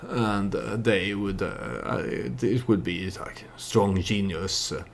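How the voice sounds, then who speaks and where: A man narrates his strategy game playthrough in a low, creaky voice. He speaks very slowly and haltingly, working out the story as he goes in a casual way. Faint noise sits behind the voice. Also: steady tone. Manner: hesitant, halting, thinking aloud.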